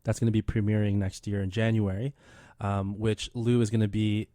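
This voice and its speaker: A man's voice: fairly low pitch, level tone, moderate pace, dark tone, no room sound. Rambling male radio host, dry studio sound. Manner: rambling, many fillers and restarts. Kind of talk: casual radio chat.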